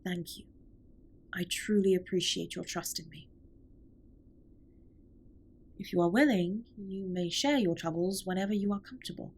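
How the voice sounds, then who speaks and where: A woman playing a formal, caring alien spaceship captain in an intimate audio drama, speaking in a measured, precise way with a breathy, husky voice. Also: on the low side, a little mellow. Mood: sincere, formal. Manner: formal, measured, precise wording with few contractions. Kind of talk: scripted roleplay audio drama, intimate comfort monologue.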